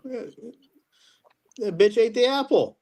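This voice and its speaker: A man calling in to a radio show who talks gruffly, swears constantly and drawls in a laid-back way. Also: very high-pitched, animated, takes it slowly, a little mellow. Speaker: caller. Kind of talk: casual call-in radio chat.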